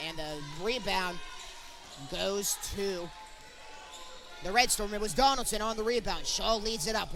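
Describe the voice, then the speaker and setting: A young man commentates basketball in a very high, bright voice. His play-by-play is excitable, following each pass in short clipped phrases. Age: young adult. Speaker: sports commentator.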